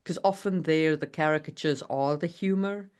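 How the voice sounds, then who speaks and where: A woman co-hosting a podcast, chatting casually about writing in an animated way and often checking for agreement. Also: sits low, normal speed, clear, resonant tone. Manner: animated, seeks agreement with "you know what I mean". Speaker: podcast co-host and writer.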